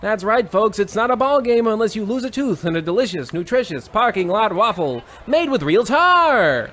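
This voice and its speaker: A man playing an excitable, folksy old-time radio baseball announcer with theatrical, exclamatory patter. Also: sits high, moderate pace. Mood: folksy showmanship. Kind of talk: 1940s radio sports commentary parody.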